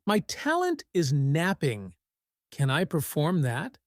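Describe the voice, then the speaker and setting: A woman voicing a gentle, sleepy male tabby cat in a children's story, languid and mournful. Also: low-pitched, wildly swooping pitch, slow, no echo, clean studio sound, exaggerated voice. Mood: sleepy, reluctant.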